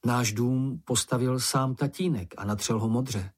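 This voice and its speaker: A storybook reader voicing a gentle, naive young male troll child with an artless, enthusiastic manner. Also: mid-range voice, breathy edge, close to an everyday voice. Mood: earnest.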